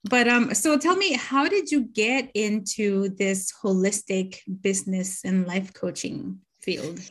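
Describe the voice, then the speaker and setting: A woman's voice: medium pitch, slow pace. A woman hosting a podcast interview, affirming her guest and asking questions in an encouraging, casual way. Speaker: podcast host.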